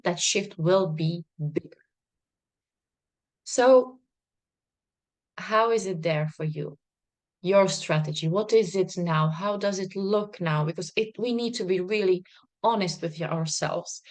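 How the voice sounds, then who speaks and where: A woman, a non-native English speaker, talking to a live stream audience as a business coach in a bubbly, emphatic way with frequent laughter. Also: medium pitch. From not Czech, worked in Czech Republic. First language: not English. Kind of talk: live stream talk to viewers.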